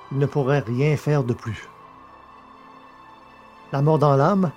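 A man's voice: medium pitch, darkish tone. A man reading scripted narration as the narrator and presenter of an audio drama podcast. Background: music.

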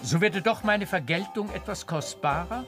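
An elderly baron in a classic radio play. He is theatrical, grateful and heartfelt, with a very animated melody. Faint music sits in the production. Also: sits high, normal speed. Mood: eager, pleading. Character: effusive, grateful old baron, a father, sentimental aristocrat. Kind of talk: German radio drama acting of a classic stage comedy.

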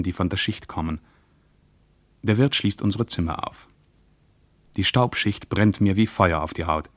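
A man playing a weary traveller reading his journal in a radio drama, in measured, short descriptive phrases. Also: deep voice, no room sound, natural voice. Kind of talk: literary radio drama diary narration.

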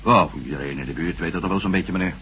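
A man's voice: deep voice, quick pace, sharp tone. A man playing a disguised newcomer posing as a humble mechanic, hesitant and deferential, in a Dutch radio drama. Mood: hesitant, affable. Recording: noisy old radio play with hum.

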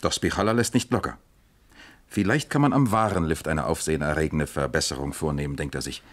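An actor playing a shrewd East German police captain in a German radio crime drama, questioning in a dry, businesslike way. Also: male voice, rumbling low, a little fast. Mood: measured, probing.

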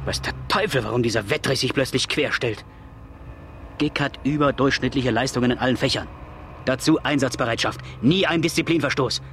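A man's voice: medium pitch, a little fast, natural voice. An actor playing an earnest, irritable male teacher in a radio drama. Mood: indignant. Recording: loud vehicle noise around the voice.

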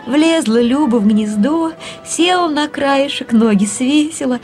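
A woman narrating a story in an audio drama, measured and in short phrases. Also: high-pitched, darkish tone, soft background music.

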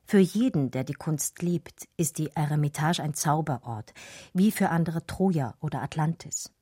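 An actress in a radio drama playing an emotional, wistful art historian, with a breathy, husky voice that often sinks toward a whisper. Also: female voice, low voice, expressive, normal speed, dark tone, dry recording, clean studio sound. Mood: wistful, reflective. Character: distraught art historian recalling a lost lover, wistful and urgent. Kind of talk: radio drama dialogue and intimate first-person narration.

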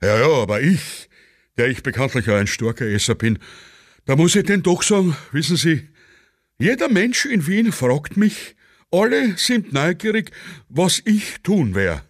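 A man's voice: a little high, sing-song, a little slow, no echo, studio quality, pitched above the performer's own voice. A man playing a self-important, complaining Viennese heavy eater in a satirical radio play. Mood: boastful. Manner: pompous, complaining.

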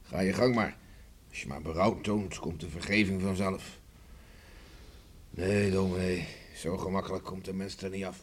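An actor playing a stubborn, devout husband weighed down by guilt, grim and curt, in a Dutch radio play. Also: male voice, sits low, fairly even intonation, moderate pace, slight background noise, lightly acted. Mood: bitter, unyielding. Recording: old radio play recording.

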